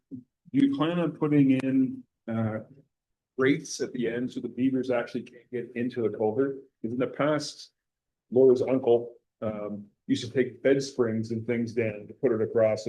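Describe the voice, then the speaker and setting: A man's voice: mid-range voice, darkish tone. A man chairing a township council meeting, asking staff a question in a plainspoken, folksy way. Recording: muffled meeting-room audio.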